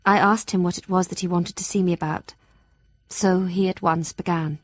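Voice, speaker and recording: An actress playing an earnest, anxious young Victorian gentlewoman in an audio drama, narrating her journal in a formal, heartfelt, measured way. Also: lowish voice, fairly even intonation, no echo, close to an everyday voice.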